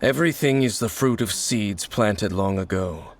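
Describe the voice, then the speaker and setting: An actor playing a mysterious, grave wanderer who hunts the Abyss, speaking in a measured and cryptic way in video game voice acting. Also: male voice, lowish voice, natural voice. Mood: solemn. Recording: game audio with loud music.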